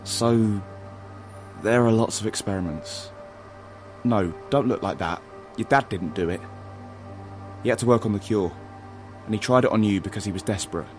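An actor playing an earnest, protective and slightly nerdy rebel in an audio drama, explaining things insistently. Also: male voice, on the low side, moderate pace, pauses often, lightly acted. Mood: grave, insistent. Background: music.

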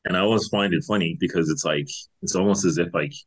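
A man's voice: deep voice, fast talker, husky. A man in his thirties from Zimbabwe, talking loosely in an interview with lots of fillers and restarts. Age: 30s. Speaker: guest. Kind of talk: casual interview chat.

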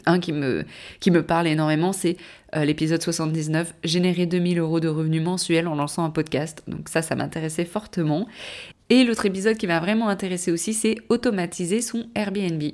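A woman hosts her own podcast and talks on her own in a loose, rambling way. She stops often to correct herself, and her voice is on the low side. She has a cold. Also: a little mellow. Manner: rambling, many fillers and self-corrections. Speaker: podcast host. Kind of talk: casual solo podcast talk.

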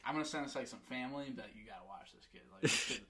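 A man's voice: medium pitch, racing, a crowd in the background. A young man hosting a casual podcast chat, rambling and laughing often. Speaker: podcast host.

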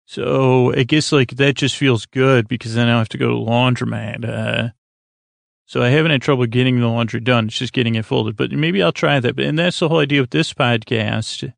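A man hosting a bedtime podcast in a meandering, halting monologue, stammering and often trailing off mid-thought. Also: mid-range voice, steady tone, gravelly, no echo. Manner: acts out imagined back-and-forth dialogue. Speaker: podcast host.

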